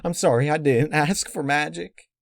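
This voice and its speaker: Frightened pony mare in labour played by a man, high-pitched, apologetic and pleading, for a dark storybook reading. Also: normal speed, gravelly, no echo, clean studio sound. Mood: desperate pleading.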